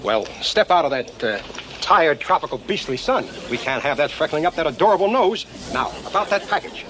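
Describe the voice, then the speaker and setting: An actor with an American accent playing a brash, flirtatious, wisecracking navy sailor with slangy patter in a sitcom. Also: male voice, a little high, big pitch swings, a little fast, fairly bright tone, a little hiss or hum. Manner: smooth-talking, slangy. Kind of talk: sitcom acting.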